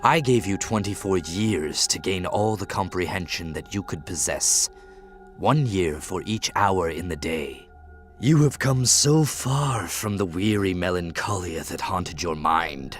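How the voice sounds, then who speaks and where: A man playing a sly, menacing devil in an audiobook, with low, formal and deliberate speech. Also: lively intonation, faint music behind. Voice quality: low, growling utterance. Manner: formal, deliberate, archaic phrasing. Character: hooded devil, menacing, sly, resentful beast. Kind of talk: dramatized audiobook acting.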